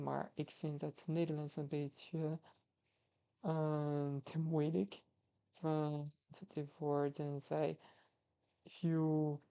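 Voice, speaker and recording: Young man, Brazilian Portuguese accent, hesitant with fillers, flat delivery, dull lo-fi recording. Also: fairly high pitch, clear, resonant tone. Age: young adult, 21. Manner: hesitant, halting, many fillers and restarts. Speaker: language-learning vlogger. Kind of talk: casual vlog, practicing foreign languages.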